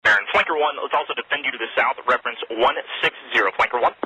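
A man's voice: mid-range voice, rapid-fire, bright, forceful tone. A man working as a military air controller, giving terse, procedural strings of numbers and callsigns over the radio. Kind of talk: clipped military radio chatter. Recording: noisy radio transmission with static clicks.

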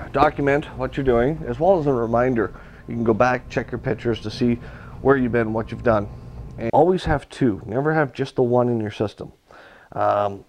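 A man speaks in a relaxed, practical way as he demonstrates his work at a workshop bench. His voice sits at an ordinary middle pitch, recorded by a camera mic. A faint hiss runs underneath. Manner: relaxed, practical, addresses viewers as guys. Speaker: aircraft builder demonstrating. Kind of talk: hands-on workshop instruction.

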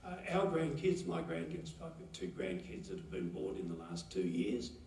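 A man gives a halting, pause-filled eulogy for his mother at a chapel lectern. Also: mid-range voice, very animated, gravelly, darkish tone, a little hiss or hum. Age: 70s. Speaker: son giving a eulogy. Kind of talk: memorial eulogy. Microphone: lectern mic, heard from a far camera. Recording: roomy chapel echo from far off.

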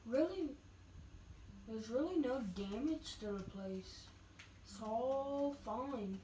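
A woman giving casual, halting gameplay commentary, her voice a little scratchy from getting over being sick. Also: on the high side, lively intonation, mellow. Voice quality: slightly scratchy. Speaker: gamer narrating gameplay. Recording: distant roomy mic, music behind.

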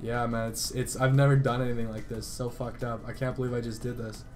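A man talks casually in a mid-pitched voice, full of slang and swearing. He rambles through his sentences as if chatting on the phone, with music faintly behind him. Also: flat delivery, fairly quick, a little mellow. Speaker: gaming video creator making a prank call.

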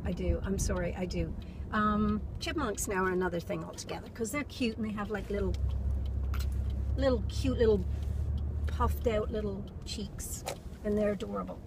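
A woman's voice: medium pitch, moderate pace, creaky. A middle-aged woman vlogging casually, chatting in a rambling way and repeating words for emphasis. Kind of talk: casual chit-chat vlog. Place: inside a car. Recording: noisy car interior, road ambience. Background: car and road noise.

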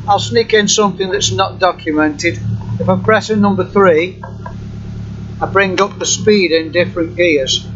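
A man giving an informal, unscripted walkthrough of software in a halting, matter-of-fact way. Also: sits high. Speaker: software demonstrator. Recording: muffled, loud engine hum and noise. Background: running car engine hum.

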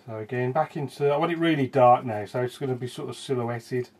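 A man in his thirties demonstrates a watercolour painting in a small indoor room, his voice at an ordinary middle pitch. He talks in a relaxed way, thinking aloud and letting sentences trail off quietly. A clear background noise runs under the recording. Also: a little fast.

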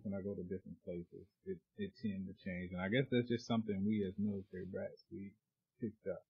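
Male podcast co-host, husky tone, easygoing chat, lo-fi sound. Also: deep voice, brisk, no room sound. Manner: relaxed, conversational, southern slang. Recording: dull lo-fi sound.

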